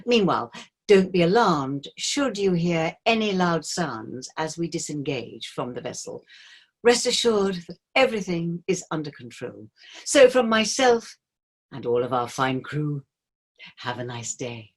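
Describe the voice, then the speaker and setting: A woman's voice: low voice, big pitch swings, heavily acted. A woman playing a polished, officious cruise ship captain making formal announcements in a staged play reading. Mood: soothing, cheery. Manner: formal, measured announcement delivery.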